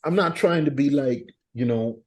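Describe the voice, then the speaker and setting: A man's voice: mid-range voice, moderate pace, a little mellow. A Canadian man talking as a podcast guest, rambling and often asking "you know what I'm saying". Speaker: guest artist. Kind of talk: casual podcast interview.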